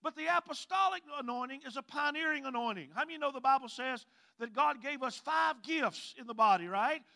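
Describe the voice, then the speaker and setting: A man's voice: extremely high pitch, gravelly, bright, forceful tone. A man with a Mississippi accent preaching a sermon, stretching out scripture readings and calling on the congregation to respond. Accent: Southern US, Mississippi. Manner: drawn-out emphatic scripture reading, calls for responses.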